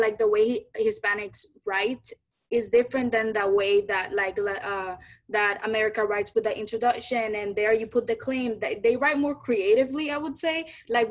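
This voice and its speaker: A young woman student on a video call panel, talking casually with many likes. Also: on the high side.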